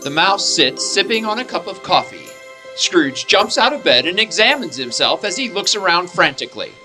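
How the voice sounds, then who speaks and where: A man's voice: sits high, sing-song, normal speed, music in the background. A man narrating a scripted radio play with dramatic storytelling delivery.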